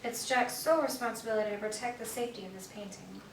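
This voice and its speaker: A composed, businesslike female curator, played by a stage actress speaks quickly in a fairly high voice. Her delivery is formal, in the manner of a radio noir drama. The sound is roomy, as if miked from across a theatre. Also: natural voice. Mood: poised, confiding. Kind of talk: old-time radio drama acting on stage. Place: theatre stage, recorded from a distance. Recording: echoey stage, distant mic, faint noise.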